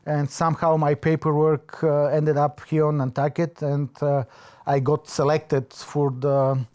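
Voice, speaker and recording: A man with a Bulgarian accent, reflecting in an interview, pausing often to search for his words. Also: a little high, flat delivery, a little mellow. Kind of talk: reflective oral history interview.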